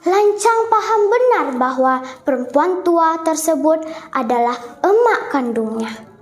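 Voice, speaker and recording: Very high-voiced, slow young girl storyteller. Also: lively intonation. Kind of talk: dramatic folk tale storytelling. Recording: echoey room.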